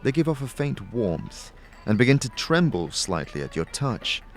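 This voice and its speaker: A man's voice: medium pitch, big pitch swings, normal speed, faint music behind. A man reading dramatic, second-person scripted narration for an audio story.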